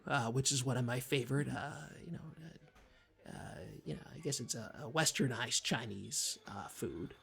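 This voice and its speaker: A man playing an eager, bumbling small-town community radio co-host who talks haltingly in a comedy show, with many ums and false starts. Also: mid-range voice, gravelly, a bit bright. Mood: hesitant, earnest. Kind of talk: improvised mock public access radio chat.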